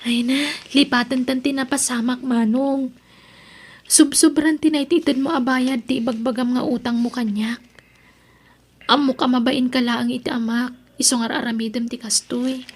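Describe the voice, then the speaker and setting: High, husky, earnest young Ilocano daughter. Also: female voice, fairly even intonation, moderate pace. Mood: earnest refusal. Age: young adult. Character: principled young Ilocano daughter, honest, gentle but firmly reproachful. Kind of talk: Ilocano radio drama acting.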